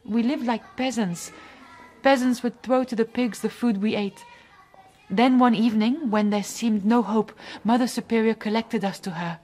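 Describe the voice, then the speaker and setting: An actress playing a devoted, chatty nun in a radio drama. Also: female voice, fairly high pitch, normal speed, a little mellow, natural voice. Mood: fond, reminiscing. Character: devoted, chatty French nun, gently self-reproaching.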